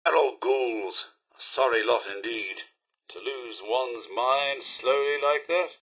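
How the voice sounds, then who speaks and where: A man's voice: medium pitch, a bit bright, breathy edge. A man voicing a polite, fussy, easily scandalised English robot butler in a video game, with prim, theatrical, deferential delivery and a British accent. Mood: wistful. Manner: prim, theatrical, deferential but quick to disapprove. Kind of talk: scripted video game character lines.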